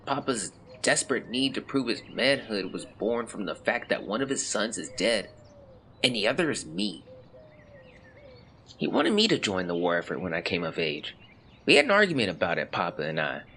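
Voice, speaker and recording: An actor playing a melancholy young man who reads out a confessional farewell letter in measured, formal words, as in an audio drama. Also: lowish voice, big pitch swings, sharp tone, slight background noise, heavily acted. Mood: bitter, pained. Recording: clear birdsong and outdoor ambience behind. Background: birdsong, nature ambience.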